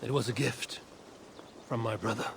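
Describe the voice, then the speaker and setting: A man's voice: medium pitch, fairly even intonation, normal speed, creaky, noisy background, lightly acted. An actor playing a bold, self-assured male Viking warrior in a video game, speaking tersely in formal, archaic phrases. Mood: proud. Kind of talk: dramatic video game dialogue.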